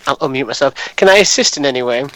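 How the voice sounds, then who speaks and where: A man's voice: medium pitch, animated, very fast, sharp tone. A man taking part in a tabletop game as a player, chatting casually and politely about his character's actions.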